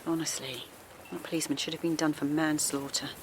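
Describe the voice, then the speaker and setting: A woman's voice: low-pitched, fairly even intonation, a little fast, noisy background. An actress playing an outspoken, principled woman activist in an audio drama, challenging and indignant, asking pointed questions. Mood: subdued. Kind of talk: naturalistic audio drama acting.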